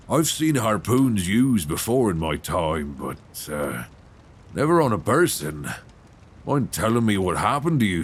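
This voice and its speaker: A man playing a gentle but probing, folksy 19th-century lighthouse keeper in an intimate ASMR suspense roleplay, soft-spoken, halting and reassuring, close on a binaural mic. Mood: curious, probing. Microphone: close binaural microphone. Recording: faint low rumbling background noise.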